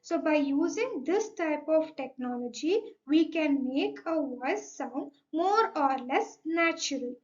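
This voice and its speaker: A young woman with a high-pitched voice delivers a formal student presentation. She explains in a scripted, academic way, often opening sentences with "so". The recording is dull and slightly muffled. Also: lively intonation, slow pace, few pauses.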